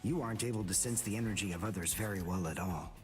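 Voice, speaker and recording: An actor playing a cold, arrogant teenage boy rival in an English anime game dub, speaking in a deliberate, condescending way. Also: fairly low pitch, distant mic, soft background music, close to an everyday voice. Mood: smug lecturing.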